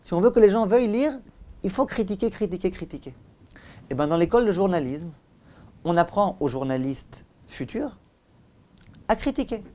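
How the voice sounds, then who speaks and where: A man with a soft-edged, mellow voice delivers a religious lecture as a rabbi. He speaks straight to his listeners in a didactic manner. Key phrases come back again with firm emphasis. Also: high-pitched, expressive, moderate pace, long pauses. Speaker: rabbi giving a Torah lesson. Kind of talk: religious lecture in direct address.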